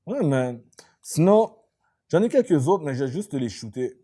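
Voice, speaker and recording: A man's voice: fairly high pitch, very animated, normal speed, dark tone. A young man with a Quebec French accent, co-hosting a casual chat in a laid-back way, repeating words and tossing in English slang. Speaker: podcast co-host.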